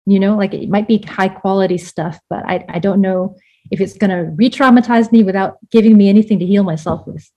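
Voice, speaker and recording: A woman's voice: medium pitch, a little fast, dark tone, lo-fi sound. A woman from the United States, born in Vietnam, speaking as an interview guest in a casual, reflective and candid way, with plenty of "like" in her sentences. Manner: candid, informal, peppered with "like".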